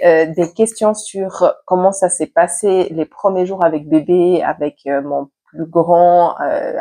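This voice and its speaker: A woman's voice: mid-range voice, mellow. A woman, stuffy with a head cold, telling a personal story to camera at home in a chatty, candid way, with many hesitation fillers. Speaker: vlogger and mother. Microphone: external mic plugged into a camera.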